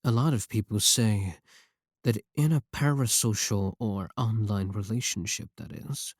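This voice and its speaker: A man's voice: on the low side, measured pace, dry recording, clean studio sound. A man playing a clingy, possessive yandere VTuber, pleading defensively and intimately with a single viewer in an ASMR roleplay. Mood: obsessive, coaxing.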